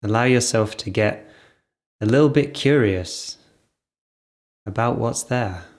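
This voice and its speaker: Slow-paced man guiding a meditation. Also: mid-range voice. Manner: gentle pauses between short phrases. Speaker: meditation guide. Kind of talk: guided meditation, improvised.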